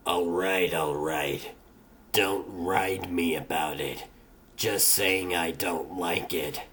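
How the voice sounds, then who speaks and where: A man voicing a gruff, reluctant superhero brawler in a comic dub, gravelly, terse and grumbling. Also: deep voice, fairly even intonation, slow pace, a bit bright, a little hiss or hum. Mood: sullen, grumbling. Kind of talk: comic dub character acting.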